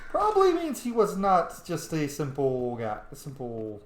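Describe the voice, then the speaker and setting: Animated, chatty man doing game commentary. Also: fairly high pitch, moderate pace, echoey room, slight background noise. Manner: chatty, reacts aloud to the game. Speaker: gaming streamer.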